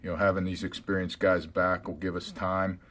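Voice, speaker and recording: An American man, a college football head coach, answering media questions on a conference call in measured, hesitant phrasing. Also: deep voice, level tone, a bit bright, slight background noise.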